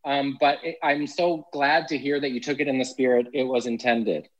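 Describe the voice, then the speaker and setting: A Canadian man with a naturally high voice, chatting playfully in an interview about acting and impressions. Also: fairly even intonation, lo-fi sound. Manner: playful, self-deprecating, quick asides. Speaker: actor and impressionist guest. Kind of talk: casual interview chat.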